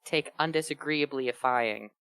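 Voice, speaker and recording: A man's voice: medium pitch, measured pace, fairly bright tone. A man chatting and joking casually as one of a group reading fan fiction aloud. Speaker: reader on a bad fanfiction show.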